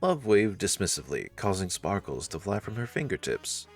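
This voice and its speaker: Very low-voiced woman narrating a story. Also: sing-song, moderate pace, slightly rough, faint music behind. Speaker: visual novel narrator.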